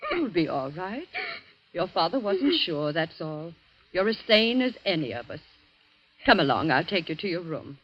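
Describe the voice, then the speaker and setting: An actress playing a troubled middle-aged guardian's wife in a 1940s radio drama. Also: female voice, fairly low pitch, big pitch swings, moderate pace. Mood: soothing, tearful. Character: guardian's wife, soft-spoken, troubled and soothing. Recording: dull vintage radio recording.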